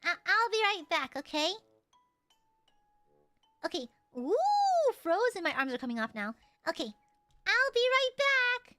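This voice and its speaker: A high, bright-toned woman streaming live, whose voice swings wildly between shouts and low asides. She is crude and teasing and swears freely. Soft music plays behind her. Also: unhurried, pauses often. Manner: animated, jumps between shouting and mock-serious mutters. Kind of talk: casual live-stream chat.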